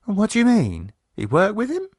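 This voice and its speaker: A man voicing a sharp-tongued wife, talking in a blunt, quick, scolding way. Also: high voice, wildly swooping pitch, slightly rough, dry recording, clean studio sound, pitched above the performer's own voice, heavily acted.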